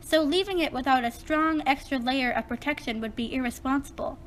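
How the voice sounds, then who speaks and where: A woman's voice: high-pitched, brisk, a little hiss or hum, close to an everyday voice. A woman playing a matter-of-fact young girl in a children's puppet show, speaking in an earnest, plain-spoken way. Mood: earnest, explanatory.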